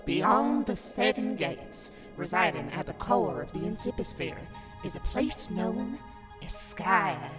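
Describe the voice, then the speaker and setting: A woman voicing a playful, doting grandmotherly ghost guide in a theatrical, storybook manner for a cartoon fandub. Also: a little high. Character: playful grandmotherly ghost sprite in clown garb, mysterious guide. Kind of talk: fandub character acting.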